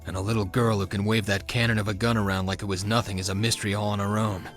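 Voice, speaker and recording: A man's voice: fairly low pitch, flat delivery, fast, a bit bright, soft background music, close to an everyday voice. A man playing a doubtful special-ops agent in a video game, questioning the evidence. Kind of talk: English dub acting in a video game cutscene.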